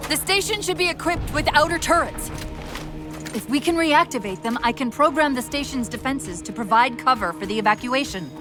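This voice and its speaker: An actress playing a quick-witted, helpful military artificial intelligence in a video game, brisk and wry as she gives information. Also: high voice, animated. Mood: purposeful. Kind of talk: video game cinematic acting. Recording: music clearly behind the voice.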